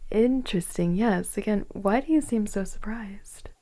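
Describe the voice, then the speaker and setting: A woman playing a gently flirtatious, affectionate woman in her forties in an intimate ASMR roleplay, speaking softly and breathily, often near a whisper, without hurry. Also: mid-range voice, moderate pace, natural voice. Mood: gently teasing. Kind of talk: ASMR romantic roleplay, intimate one-sided dialogue.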